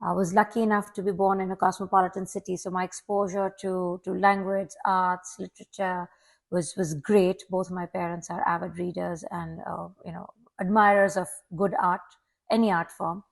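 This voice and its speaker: A woman's voice: on the low side, little pitch movement, moderate pace, gravelly. A woman in her forties from Pakistan, a longtime teacher, talking earnestly and reflectively as a guest in an interview, often repeating a word as she starts. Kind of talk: casual interview talk.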